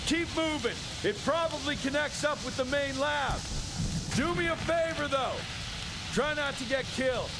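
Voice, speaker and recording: An actor playing a gruff, terse, sardonic monster-hunting agent of the Old West in a video game. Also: male voice, very high voice, normal speed, very noisy. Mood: urgent, terse. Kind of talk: video game cutscene acting. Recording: loud music behind the voice.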